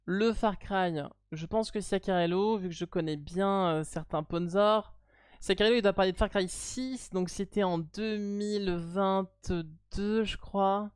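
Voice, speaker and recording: A French woman streamer chats casually with her partner, blunt and playfully teasing. Her voice is smooth and clear, in a dry close sound. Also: medium pitch, a little slow, darkish tone, no room sound. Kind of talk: casual live stream chat.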